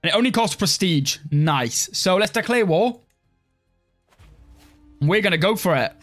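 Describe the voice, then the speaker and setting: A man's voice: high voice, measured pace, soft background music. A young man from Wales, casually commentating his strategy game live and chatting with viewers, rambling and filling his talk with "like.". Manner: relaxed, rambling, heavy use of "like". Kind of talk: live-stream gameplay commentary, reading chat.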